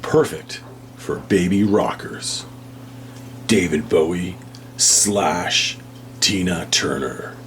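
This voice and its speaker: A man reading a children's picture book aloud as a storybook reader, with deliberate, measured phrasing. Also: low-pitched. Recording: hum and faint background noise.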